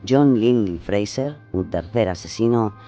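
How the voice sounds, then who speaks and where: A man's voice: on the low side, measured pace, dark tone. A man reading a scripted documentary narration on a dull, lo-fi recording. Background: music.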